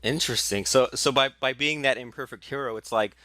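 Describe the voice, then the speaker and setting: A man's voice: medium pitch, a little fast, pushed and bright, no room sound. A man hosting a marketing podcast, eager and chatty, packing his questions with fillers and restarts. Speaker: podcast host. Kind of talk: casual podcast interview.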